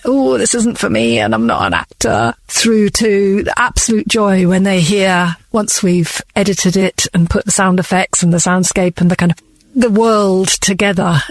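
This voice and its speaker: A woman's voice: mid-range voice, no room sound. A woman who works as a director, answering questions as a guest in a conversational interview.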